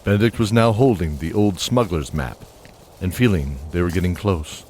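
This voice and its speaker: A man narrating a fantasy audio drama in a measured, descriptive storytelling style, with faint music behind him. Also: deep voice, a little mellow, slight background noise.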